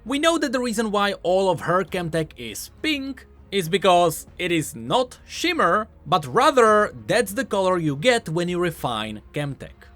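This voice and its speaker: A man's voice: high-pitched, big pitch swings, a little slow, hardly any pauses, a bit bright, soft background music. A man giving scripted explainer commentary as a video host, in an explanatory, emphatic manner.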